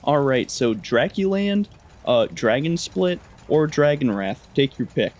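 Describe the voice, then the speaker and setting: A man's voice: medium pitch, animated, unhurried, a little mellow. A man narrating a gaming video in a casual, wry, slangy way. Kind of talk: casual gameplay commentary.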